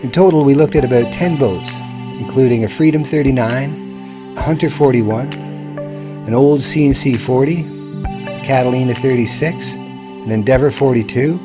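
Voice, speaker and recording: A man's voice: medium pitch, moderate pace, music in the background. A middle-aged man reading scripted travel narration as the host of a vlog. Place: voice-over with music behind.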